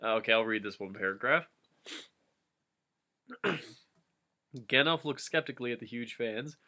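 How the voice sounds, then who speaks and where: Bright-voiced man reading comically. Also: mid-range voice, animated, moderate pace. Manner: jokey, easily amused. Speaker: reader and commentator. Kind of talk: comic dramatic reading with casual commentary.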